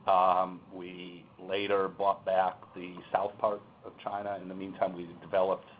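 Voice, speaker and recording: Deep-voiced man on a muffled seminar recording. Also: a little slow, a little hiss or hum. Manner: relaxed, thinking aloud with many ums. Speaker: panelist. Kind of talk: seminar panel talk. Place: meeting room. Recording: muffled phone-like conference recording.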